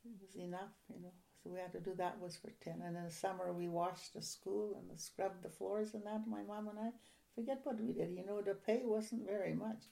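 An older woman from a Ukrainian-speaking home, reminiscing in an oral history interview in a soft, halting, rambling way. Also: medium pitch, steady tone, gravelly, poor audio.

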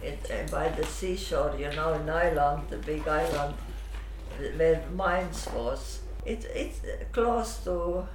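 An older man whose first language is Russian, recalling his past in halting English with many restarts. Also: on the high side. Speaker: interviewee. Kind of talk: oral history recollection. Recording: echoey room, faint background hum.

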